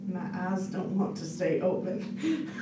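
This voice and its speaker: A woman's voice: on the low side, lively intonation, takes it slowly, background noise. A woman playing a tabletop game, talking in casual group chat. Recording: distant, echoey, with music.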